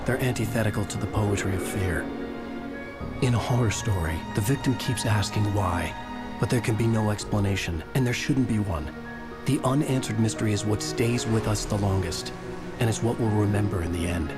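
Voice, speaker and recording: An actor playing a haunted thriller writer, giving brooding, measured narration in a video game. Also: male voice, fairly low pitch, lightly acted. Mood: brooding, uneasy. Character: haunted male thriller writer recounting his nightmare. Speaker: narrator and lead character. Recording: loud music over the voice.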